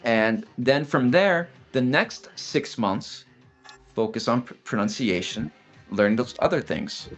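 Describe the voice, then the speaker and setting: A man's voice: mid-range voice, slow pace, faint music behind. A man, casually explaining study steps as a guest in a chat, blunt and fond of restarts.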